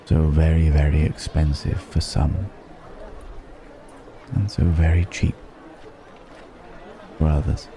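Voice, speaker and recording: A man playing a mysterious, philosophical ancient dragon disguised as a stranger, speaking in an intimate ASMR roleplay with long, pensive pauses. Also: a bass voice, level tone, crowd noise, noisy background, exaggerated voice. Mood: brooding, musing. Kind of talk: ASMR roleplay, slow intimate dramatic monologue.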